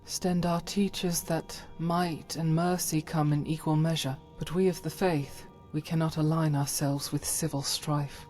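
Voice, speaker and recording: A woman's voice: low voice, fairly even intonation, a little slow, hardly any pauses, a little mellow. Woman acting as a solemn, devout fantasy warrior woman, music behind. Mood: solemn, regretful. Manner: formal, archaic, earnest phrasing. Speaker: voice actor for a game companion. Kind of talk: dramatic scripted fantasy game dialogue.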